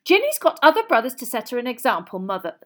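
A playful teenage prankster from a storybook, acted by a woman. He teases with mock grandeur, stretching and swooping through his words in a cheeky, animated way. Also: on the high side, quick pace, voice pushed up. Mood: grumbling, teasing. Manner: mock-posh, teasing. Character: cheeky, mocking, playful teenage twin brothers.

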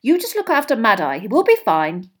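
A woman voicing a brisk, motherly middle-aged woman, firm and fussing. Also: fairly high pitch, huge pitch swings.

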